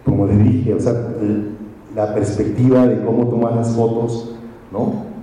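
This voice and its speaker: A man's voice: mid-range voice, flat delivery, unhurried, warm tone. A man giving a conference talk to an audience, telling stories in a reflective way and often ending with a questioning "right?". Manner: reflective, storytelling, frequent tag questions. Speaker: journalist and speaker. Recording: echoey hall from far off.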